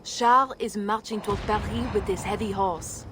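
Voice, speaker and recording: Woman playing a stern guide in clear noise. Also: medium pitch, fairly even intonation, normal speed, close to an everyday voice. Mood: accusing. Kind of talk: dramatic video game acting.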